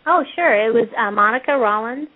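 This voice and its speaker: A woman guest researcher chatting in a relaxed, rambling way, stopping now and then to recall names. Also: a little high, brisk. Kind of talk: casual interview talk. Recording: tinny phone line.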